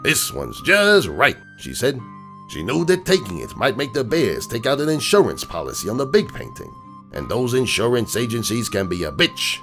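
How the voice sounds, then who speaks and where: A man playing a gruff, streetwise crook horse uncle who tells bedtime stories in a slangy, cynical, digressing way. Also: mid-range voice, wildly swooping pitch, moderate pace, fairly bright tone. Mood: sly. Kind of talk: dramatic reading of a comic bedtime story. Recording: music bed behind the voice.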